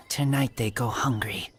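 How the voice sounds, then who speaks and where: An actor playing a feral, wary wolf-raised boy in a video game, speaking in halting, broken sentences. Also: rumbling low, husky, no room sound, exaggerated voice. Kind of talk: video game character voice acting.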